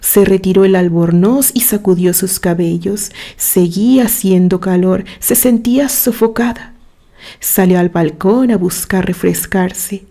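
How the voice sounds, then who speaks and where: Slow, mellow woman narrating a story. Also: medium pitch, gravelly. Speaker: narrator reading a written story. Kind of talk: dramatic literary audio story narration.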